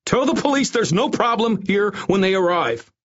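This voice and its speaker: A man's voice: very high-pitched, huge pitch swings, slow pace, fairly bright tone, muffled recording, voice pushed up, heavily acted. A man voicing a terrified, vain, cringing middle-aged doctor in an audiobook, stammering and pleading as he wails. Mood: panicked screaming. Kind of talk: audiobook character voice.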